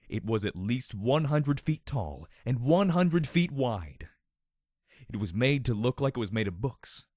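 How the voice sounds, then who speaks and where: A man reads a story with theatrical, mock-serious drama. His mid-pitched voice swings widely for effect, and the recording is dry with no room sound. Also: a little mellow, dry recording. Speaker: story narrator. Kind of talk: overly dramatic scripted narration.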